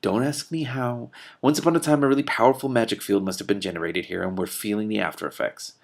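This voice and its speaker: A man's voice: lowish voice, fairly even intonation, fast, vocal fry. A man voicing a weary, cynical wizard who explains things with resignation, reading from a fantasy storybook. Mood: weary explaining.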